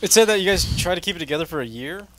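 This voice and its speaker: A man hosting a casual band interview, asking relaxed questions with plenty of fillers. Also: sits high, racing, a little hiss or hum, rough recording. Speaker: podcast host.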